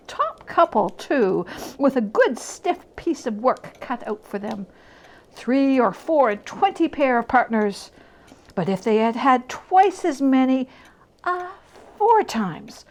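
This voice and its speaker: A woman storyteller with a fairly high voice, reading very slowly and deliberately. She shapes each phrase with expressive, dramatic emphasis, as in a classic tale read aloud.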